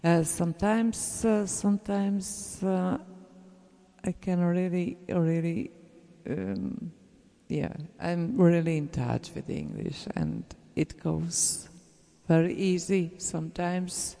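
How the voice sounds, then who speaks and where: A speaker with a German accent, a native German speaker, giving an earnest, encouraging message to fellow artists, halting and searching for words with many fillers, and trailing into soft whispers. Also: mid-range voice, vocal fry. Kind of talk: casual spoken message to listeners. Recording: roomy with long reverb.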